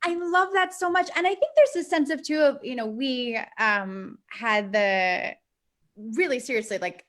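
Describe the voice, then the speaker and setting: A woman talking unscripted on a group video call, effusive and a little halting, often repeating her phrases. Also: high voice, a bit bright. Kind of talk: casual group talk, unscripted.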